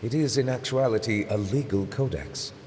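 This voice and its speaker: An actor playing a stately, dry-humored male judge in a video game, speaking in a formal, precise, measured way. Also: mid-range voice, darkish tone, slight background noise, close to an everyday voice. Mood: composed.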